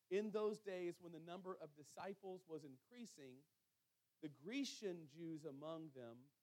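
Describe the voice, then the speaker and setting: Male preacher, high-set voice, sermon delivery that leans on repetition and weighted pauses for emphasis. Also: darkish tone, poor audio. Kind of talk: sermon with scripture reading and storytelling.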